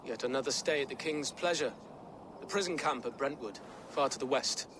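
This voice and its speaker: A man playing a feisty, anxious teenage peasant lad in a video game cutscene, excitable and earnest. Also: medium pitch, moderate pace, background noise, natural voice. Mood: wary. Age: young adult.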